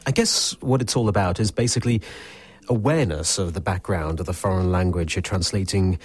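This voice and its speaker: Low-voiced male radio presenter. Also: fairly quick, breathy edge, faint music behind.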